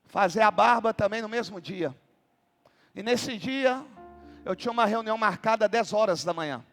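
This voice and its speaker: A Brazilian man, a pastor, telling a story in a sermon and then preaching with rising passion, at times shouting. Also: high-pitched, normal speed, long reverb tail, soft background music. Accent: Brazilian Portuguese.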